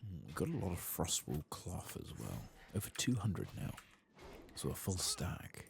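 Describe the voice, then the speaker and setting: A soft-spoken, hushed man giving unhurried, understated ASMR commentary over a video game. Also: low voice, very animated, vocal fry. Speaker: host of a gaming playthrough channel. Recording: faint background noise.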